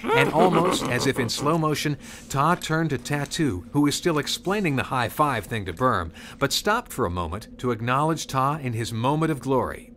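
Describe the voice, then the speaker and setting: A man's voice: medium pitch, lively intonation, moderate pace, a bit bright, a little hiss or hum. A man reading scripted storybook narration of a Christmas tale. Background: music.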